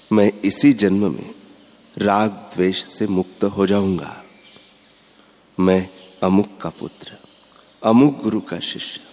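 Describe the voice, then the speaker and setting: A man giving a measured, instructive spiritual discourse, repeating his points. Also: lowish voice, darkish tone. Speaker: spiritual speaker. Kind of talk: slow devotional discourse. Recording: long hall reverb.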